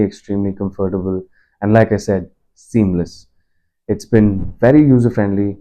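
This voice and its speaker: A man giving a casual product review in an explanatory, measured, conversational way. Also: on the low side, warm tone, rough recording. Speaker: product reviewer and motorbike commuter.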